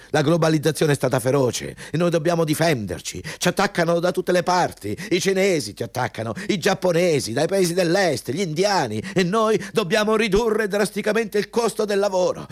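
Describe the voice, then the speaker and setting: A male actor plays a cynical company boss in a radio drama. His fairly high male voice is pushy and condescending, lecturing with insistence, and sounds close and dry. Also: no echo, natural voice. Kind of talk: Italian radio play acting, dialogue.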